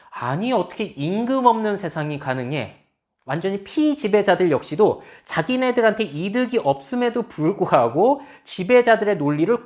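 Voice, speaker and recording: A woman lecturing on social change, explaining step by step and asking her audience rhetorical questions. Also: on the low side. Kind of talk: lecture on future trends.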